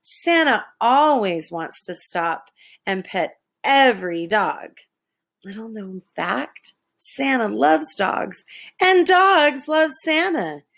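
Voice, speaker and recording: A woman reads a children's storybook aloud at a leisurely pace. Her voice rises and falls in a lively, dramatic way, like someone performing each line for young listeners. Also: a little high, takes it slowly, creaky. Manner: animated, dramatic, with hushed asides. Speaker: storybook reader.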